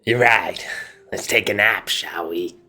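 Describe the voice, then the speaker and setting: A man with a very low voice hosts a gaming video over faint background music. He reads story narration aloud and drops in casual commentary of his own. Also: unhurried, pushed and bright.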